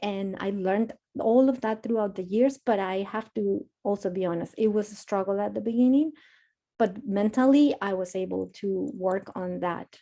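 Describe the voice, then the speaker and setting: A woman with a Spanish accent, telling a candid personal story on a panel in an earnest, halting way with many restarts. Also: mid-range voice, mellow. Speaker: panelist, architecture author.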